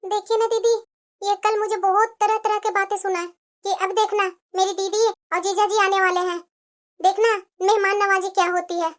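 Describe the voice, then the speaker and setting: Dutiful, wronged young cartoon wife, voiced by a woman: plaintive, extremely high voice. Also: flat delivery, moderate pace. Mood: aggrieved. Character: young daughter-in-law, aggrieved and dutiful. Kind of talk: cartoon family drama dialogue.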